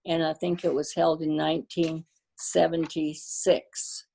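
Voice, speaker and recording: An older woman, born to a Danish-speaking family, reminiscing about her community in a casual interview, pausing often with fillers and restarts. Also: deep voice, little pitch movement, vocal fry, husky, rough recording. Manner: warm storyteller, halting with fillers and restarts. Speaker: guest.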